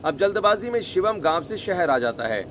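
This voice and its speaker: A man's voice: sits high, big pitch swings, normal speed, a bit bright, slight background noise. A man narrating a scripted moral story in a storyteller's role.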